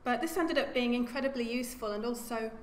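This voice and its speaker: A woman archaeologist giving an academic lecture at a lectern, speaking in a measured way and often repeating words as she corrects herself. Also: fairly high pitch. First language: English. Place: lecture room. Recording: echoey lecture hall with faint hum.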